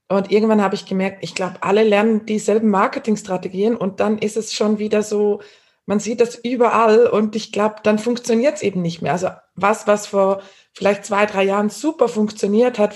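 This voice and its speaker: A woman speaking conversationally as a podcast host, introducing and chatting as a coach and author. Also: mid-range voice.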